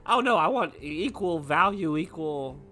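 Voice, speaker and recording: A woman chatting as a player in a tabletop game, in a halting, tentative way. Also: low-pitched, expressive, sharp tone. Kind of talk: casual chat in actual play.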